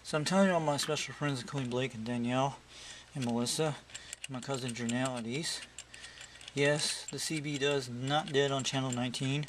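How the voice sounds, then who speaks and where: A man's voice: mid-range voice, brisk. A man chatting casually and repetitively as he tests his radio gear, like a hobbyist showing his setup. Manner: rambling, repetitive. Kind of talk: casual chat while testing radio gear. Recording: very noisy amateur recording, loud hum. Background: loud steady noise.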